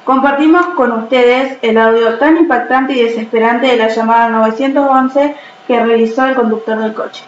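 A woman's voice: fairly high pitch, steady tone, normal speed, close to an everyday voice. A woman playing a radio newsreader in an amateur radio play. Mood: newsy. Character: female radio newsreader reporting a fatal accident. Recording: dull, echoey, faintly noisy.

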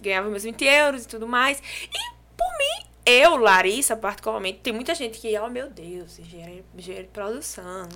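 A young adult woman with a Brazilian accent from João Pessoa, a podcast guest telling her story in casual chat, lively and animated. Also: on the high side, moderate pace, a little hiss or hum. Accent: Brazilian Portuguese, from João Pessoa.

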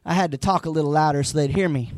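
A hoarse pastor, preaching a live sermon with folksy asides and emphatic, rising lines. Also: male voice, on the high side, rapid-fire, a little mellow, no room sound.

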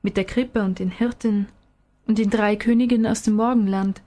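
A woman voicing a patient father who questions and explains gently in a storybook reading. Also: medium pitch, fairly even intonation, moderate pace, natural voice. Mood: patient explaining. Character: patient, gently probing father of a small boy.

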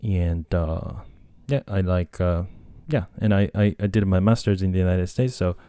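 A Japanese man talks alone in a relaxed, meandering way, stopping and restarting his sentences often. His voice sits very low and sounds soft and mellow. The recording is close and dry, with no room sound. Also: moderate pace, smooth, no room sound. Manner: rambling, hesitant, many fillers and restarts. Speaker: podcast host and language tutor. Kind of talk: casual solo talk.

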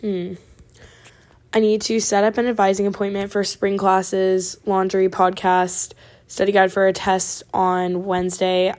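A college-age woman from Oregon hosting her podcast, chatting casually with a raspy voice and lots of fillers. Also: fairly low pitch, darkish tone. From Oregon, USA. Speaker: podcast host. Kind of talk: casual chat.

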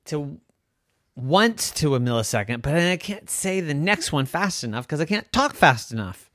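A man's voice: on the high side, sing-song, moderate pace, fairly bright tone, no echo. A man voicing a clever girl who always knows the time, speaking matter-of-factly in a close, dry recording.

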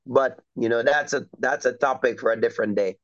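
A middle-aged man from Trinidad and Tobago, a soccer coach talking as a guest in a relaxed, rambling way with lots of fillers. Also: medium pitch, fairly quick. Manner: easygoing, rambling with many fillers. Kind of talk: casual interview chat. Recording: dull lo-fi recording.

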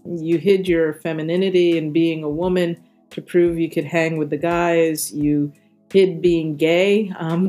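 A middle-aged American woman from New York City, talking reflectively as an interview guest in measured, articulate and deliberate phrases. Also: on the low side, dark tone. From New York City, United States. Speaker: interview guest, corporate diversity executive. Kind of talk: reflective personal talk in an interview.

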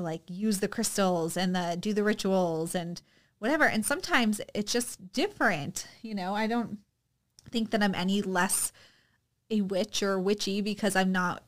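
Woman podcast co-host, casual chat, unhurried and reflective, easygoing. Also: mid-range voice. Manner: relaxed, reflective, hesitant with many fillers.

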